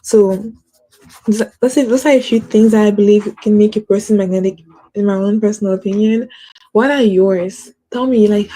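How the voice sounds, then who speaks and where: A woman's voice: mid-range voice, fairly even intonation, moderate pace, mellow, low-quality recording. A woman hosting a casual motivational talk, earnest and encouraging, with a stutter and many fillers like "like". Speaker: host.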